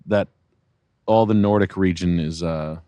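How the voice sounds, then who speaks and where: Male podcast co-host, fairly low voice, loose joking chat, tight dry sound. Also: a little slow, warm tone, no echo. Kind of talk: casual comedy chat.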